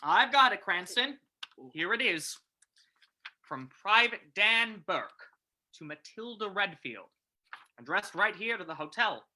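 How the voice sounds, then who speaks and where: A woman playing a gruff, sarcastic male police commissioner with a clipped, hard-boiled manner in an old-time radio play reading. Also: mid-range voice, expressive, a little slow, long pauses, bright, forceful tone, heavily acted. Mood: gruff, accusing.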